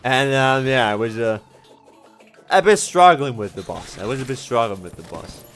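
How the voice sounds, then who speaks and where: A man's voice: mid-range voice, lively intonation, moderate pace, a bit bright, soft background music. A young Swiss man, a German speaker, chatting casually while streaming a game, often laughing and correcting himself. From Switzerland. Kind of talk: casual gameplay livestream commentary.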